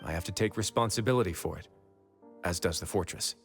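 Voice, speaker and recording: Stern, commanding male game hero. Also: deep voice. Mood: somber, remorseful. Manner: measured, authoritative, dryly menacing. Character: stern, composed young male fortress warden, righteous and commanding. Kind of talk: video game voice acting. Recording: game music behind the voice.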